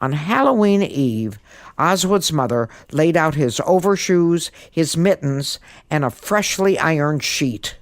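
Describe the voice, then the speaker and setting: Casting: woman for children's story narration, deep voice, theatrical delivery, very lively pitch, dry close sound. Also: a little slow, no echo. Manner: theatrical, emphatic. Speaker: storyteller, guest podcast host.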